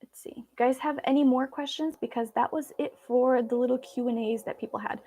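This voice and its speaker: A woman's voice: sits high, fairly even intonation, a little fast, mellow. A woman from Belarus, a native Russian speaker, chatting casually and informally with viewers as she hosts a live Q&A. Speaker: vlog host.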